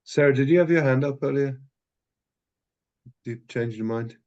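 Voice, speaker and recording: A man teaching an adult text study class, thinking out loud with many restarts and self-corrections. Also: mid-range voice, moderate pace, dull audio.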